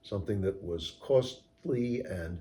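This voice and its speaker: A man giving measured, technical, explanatory answers in an interview as a company co-founder. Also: low-pitched, dark tone. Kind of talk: interview answers about product design.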